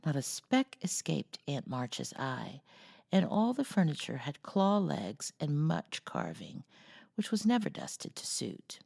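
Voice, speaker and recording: A woman reading a classic novel aloud as a storyteller, with a creaky voice and a measured, cozy delivery. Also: low voice, big pitch swings, darkish tone. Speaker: storyteller, host of a read-aloud series.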